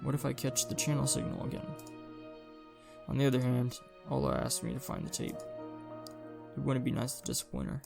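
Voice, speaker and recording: A man with a creaky, gravelly voice reading a visual novel aloud as a game streamer, with casual, sweary asides. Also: mid-range voice, moderate pace, long pauses. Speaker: gaming streamer doing a longplay. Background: game music.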